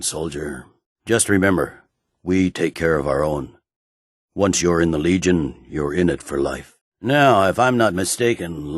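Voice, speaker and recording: This is a stern male general from a fantasy video game. His voice is deep and recorded very dry. He speaks in a clipped, formal, commanding way, like a weary officer used to being obeyed. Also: no room sound. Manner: authoritative, clipped, brusque, formal. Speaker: military commander. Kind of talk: scripted video game dialogue.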